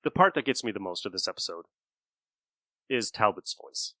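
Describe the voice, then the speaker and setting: A man's voice: fairly low pitch, expressive, moderate pace, sharp tone, no room sound. A man hosting a podcast in casual review chat, blunt and free with swearing. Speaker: podcast host.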